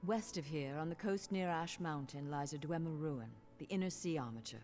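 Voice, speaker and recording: An actress playing a scholarly, businesslike mage official in a fantasy video game, formal and polite. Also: female voice, low voice, normal speed, darkish tone, close to an everyday voice. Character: scholarly, businesslike female mages guild official. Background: game music.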